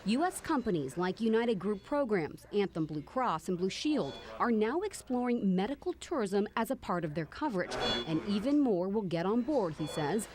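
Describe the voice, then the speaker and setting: A woman's voice: medium pitch, very animated, normal speed. A woman with an American accent reading scripted news narration as a reporter.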